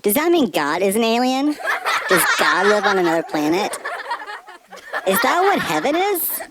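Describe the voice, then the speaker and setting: A woman's voice: medium pitch, sing-song, normal speed, heavy background noise, natural voice. A woman voicing an inquisitive, naive little boy sheep puppet in a children's show. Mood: curious, puzzled. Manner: eager, questioning, naive. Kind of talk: scripted children's puppet dialogue.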